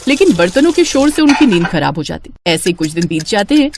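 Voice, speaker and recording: A woman's voice: medium pitch, very animated, fairly quick, background noise. A woman giving scripted storytelling narration.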